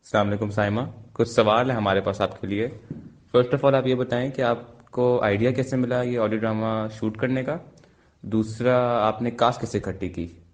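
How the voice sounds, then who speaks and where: A man's voice: medium pitch, fairly even intonation, moderate pace. A man putting prepared interview questions, switching between his language and English. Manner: mixes Urdu with English phrases.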